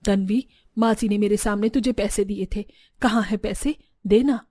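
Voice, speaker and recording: A woman's voice: mid-range voice, fairly even intonation, normal speed, warm tone, no room sound, studio quality, natural voice. A woman playing female relatives in a cartoon family drama, with a melodramatic manner. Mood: reproachful. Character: women of a cartoon family, sisters and elders. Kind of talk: Hindi cartoon story dialogue.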